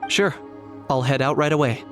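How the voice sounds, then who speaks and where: Man acting calm, pragmatic young warrior. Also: fairly high pitch, loud music behind the voice, natural voice. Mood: matter-of-fact, composed. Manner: measured, matter-of-fact, serious. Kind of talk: video game voice acting.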